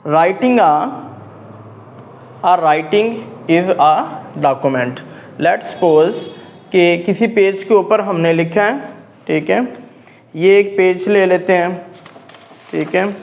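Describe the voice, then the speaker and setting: A man with a Pakistani accent lecturing as a law teacher, explaining a text point by point and often pausing to check understanding. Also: sits high, poor audio. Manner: didactic, repeatedly checking understanding.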